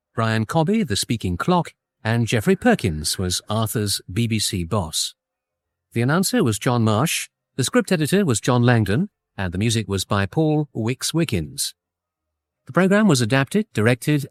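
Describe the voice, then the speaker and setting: A man's voice: medium pitch, no room sound. A man with a British accent reading scripted radio credits as a continuity announcer.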